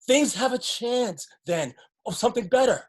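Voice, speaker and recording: A woman's voice: a little high, animated, moderate pace, husky. A woman playing a wary, resigned survivor in a stage play, with a pragmatic, cautioning way of talking. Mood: firm, defensive. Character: wary, resigned flood survivor clinging to a cramped shelter.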